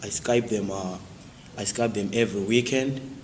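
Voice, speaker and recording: A young man with a Zimbabwean accent giving casual, halting interview answers peppered with "like". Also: fairly low pitch, big-room sound, slight background noise. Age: young adult. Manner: halting, repeats "like" constantly. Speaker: student athlete interviewee.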